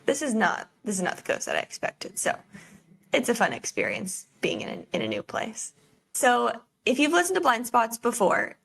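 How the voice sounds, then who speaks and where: A woman's voice: medium pitch, gravelly, fairly bright tone. A woman hosting a casual finance podcast, upbeat and welcoming as she chats with a guest. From Florida. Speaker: podcast host.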